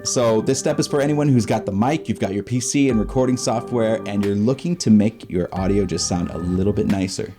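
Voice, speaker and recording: A man's voice: on the low side, a little fast, few pauses, mellow, faint music behind. A man hosting a DIY show, narrating a scripted tutorial in an upbeat, playful way with chatty asides. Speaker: host of a DIY creator show.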